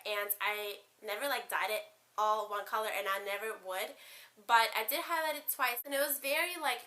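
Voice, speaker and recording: A young woman with a Ukrainian accent talks casually to her viewers in a bright, clear voice pitched a little high. She rambles, filling gaps with "like" and "um", her first language being Spanish. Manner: chatty, rambling, lots of "like" and "um". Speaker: beauty vlogger. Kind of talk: casual chat to camera.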